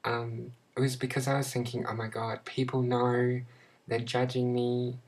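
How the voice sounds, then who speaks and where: A young Australian woman talking to her camera in a casual personal vlog, halting and searching for words with many restarts. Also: rumbling low, monotone, a little mellow, low-quality recording. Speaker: vlogger.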